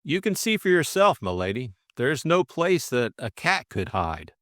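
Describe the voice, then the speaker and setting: A man's voice: medium pitch, very animated, unhurried, fairly bright tone, dry recording, studio quality, voice pushed up. A man voicing an anxious working-class servant in an audiobook, plaintive and deferential, with ungrammatical speech. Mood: resigned, protesting.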